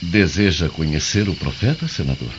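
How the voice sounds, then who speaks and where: An actor playing a grave, formal Roman confidant to a senator in a radio drama. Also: male voice, low voice, expressive, moderate pace, loud music behind the voice. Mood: grave, intrigued. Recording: muffled, noisy radio drama recording.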